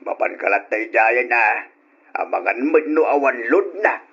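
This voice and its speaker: A man's voice: mid-range voice, animated, moderate pace, fairly bright tone, husky, muffled recording. An actor playing a bossy middle-aged father who nags his son in an old radio drama. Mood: urgent nagging.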